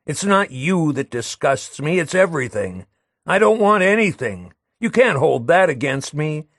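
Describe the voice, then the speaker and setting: Bitter disgusted guy ranting and shouting, voice leaping all over, as a man reads him. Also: a little high, sing-song, a little slow, no echo, studio quality, exaggerated voice. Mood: weary.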